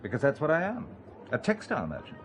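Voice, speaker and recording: A man's voice: fairly high pitch, wildly swooping pitch, fast talker, slight background noise. A man playing a sardonic, reluctant amateur spy, a married textile merchant, in an audio drama with dry wit. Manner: dry, sarcastic.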